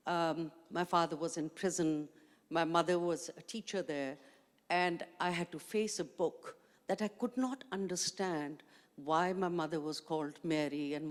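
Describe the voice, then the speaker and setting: A middle-aged woman from Pakistan whose first language is Urdu, speaking as a panelist in a panel discussion with reflective storytelling. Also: deep voice. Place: large conference hall stage. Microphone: handheld mic. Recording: long hall reverb.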